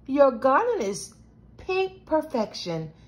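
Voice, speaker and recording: A woman's voice: sits high, very animated, takes it slowly, heavily acted. A woman voicing a tiny, gracious flower fairy with a sweet, dainty manner in a storybook.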